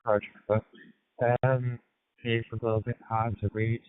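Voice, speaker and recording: A man from the United Kingdom speaks on amateur radio, measured and matter-of-fact. His voice is crisp and fairly low. The channel sounds thin and phone-like. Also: level tone. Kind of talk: radio check-in and chat. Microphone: digital ham radio transmission.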